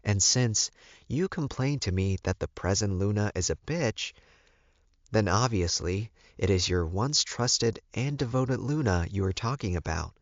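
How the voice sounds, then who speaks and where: Calm older she-wolf mother played by a male reader, pitched up, measured and firm, audiobook, slightly dull sound. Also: no room sound. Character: werewolf mother, older woman, calm, firm, counseling her son. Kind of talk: audiobook character dialogue.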